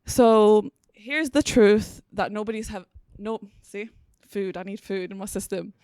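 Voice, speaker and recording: A woman's voice: mid-range voice, unhurried, a little mellow, clear, resonant tone, dry recording. Young woman, 20, doing spoken word sitting down, bit shaky, chatty asides, dry close mic. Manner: chatty, self-deprecating asides. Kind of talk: performing spoken word poetry. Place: panel event in a city venue. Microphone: handheld mic, seated.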